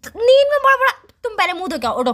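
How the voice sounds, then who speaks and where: A woman's voice: very high-pitched, sing-song, fast, a bit bright. A young woman chatting casually on a phone call as a girlfriend, insistent and nagging. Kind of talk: casual couple's phone chat.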